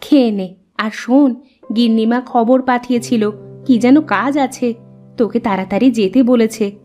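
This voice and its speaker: A woman voicing a caring village mother in a cartoon, with gentle, homely talk. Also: fairly high pitch, measured pace, faint music behind, natural voice. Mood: gentle instructing.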